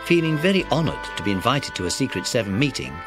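A man's voice: mid-range voice, sing-song, fast, music in the background. A man reading scripted narration for a children's audiobook.

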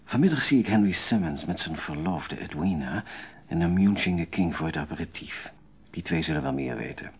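An actor playing a thoughtful, obliging gentleman in a Dutch radio drama, with a slightly husky voice. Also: low voice, a little hiss or hum.

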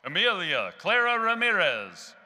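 A young man with a Texan accent announces graduates' names into a podium microphone in a large indoor arena. His voice is bright and high, with a lively rise and fall, and he calls each name loudly and deliberately. His reading stays steady and measured from one name to the next.

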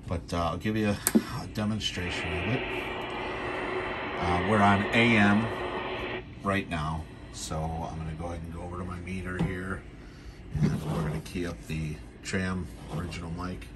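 A man's voice: sits low, fairly even intonation, normal speed, loud music behind the voice. A man giving a casual, unscripted, matter-of-fact product demonstration as the seller, on a handheld home video.

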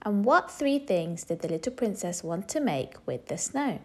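A woman's voice: medium pitch, very animated, normal speed, husky. A woman reading a children's storybook aloud expressively, speaking directly to young listeners. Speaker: storybook reader. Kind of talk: children's read-aloud narration.

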